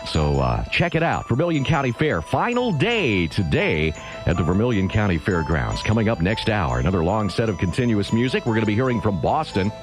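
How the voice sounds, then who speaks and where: A man's voice: lowish voice, sing-song, a little fast. An American man working as a radio DJ, hosting a seventies music show with patter between songs. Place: radio studio. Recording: radio aircheck with music behind.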